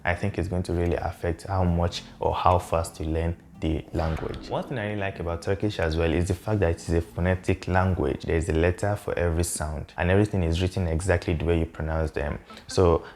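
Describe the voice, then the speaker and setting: Young man, Yoruba native, deep voice, friendly explainer, lapel mic in a small room. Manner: relaxed, friendly explaining, slows to spell out words. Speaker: language vlogger. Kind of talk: explanatory talk to camera.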